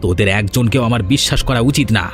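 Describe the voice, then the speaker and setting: A man's voice: medium pitch, quick pace, close to an everyday voice. A man voicing a domineering, resentful elder brother in a village family for a fairy-tale cartoon, over music. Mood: sarcastic, bitter.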